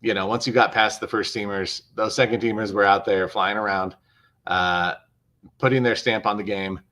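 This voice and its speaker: A man with a fairly low voice gives casual sports analysis as a co-host on a video call. He is measured and explanatory, restating phrases to press his point. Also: steady tone, sharp tone.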